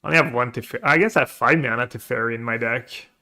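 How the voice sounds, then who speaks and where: A man with a bright, forward voice streams a card game live. He talks casually to his viewers and works through his plays out loud in a mid-range pitch. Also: quick pace. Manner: thinking aloud, planning plays. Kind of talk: live gameplay commentary, reading chat.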